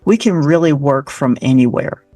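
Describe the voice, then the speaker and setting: A woman's voice: sits low, expressive, normal speed, dark tone, no room sound, faint music behind. A woman hosting a podcast interview, upbeat and encouraging, chatting casually with plenty of fillers. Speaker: podcast host.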